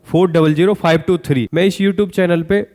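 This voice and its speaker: Male exam-news YouTuber, high-pitched, earnest direct-to-viewer commentary. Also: steady tone, moderate pace, a little mellow. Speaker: exam-news YouTuber addressing job aspirants.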